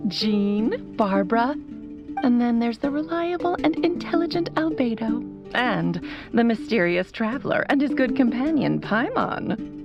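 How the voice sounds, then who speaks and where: Teasing sorceress mom from a game, kinda high voice, dramatic, muffled w music under it. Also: female voice. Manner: theatrical, teasing. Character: playful, theatrical, doting sorceress mother speaking through a phonograph. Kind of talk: animated video game dialogue. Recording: music behind the voice.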